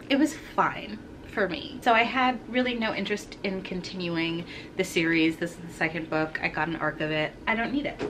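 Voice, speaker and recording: A woman's voice: medium pitch, a little hiss or hum, rough recording. Chatty young woman, slightly congested. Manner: chatty, frank, lively. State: congested, slightly sore throat. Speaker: book vlogger. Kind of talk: casual book unhaul chat. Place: home room with bookshelves.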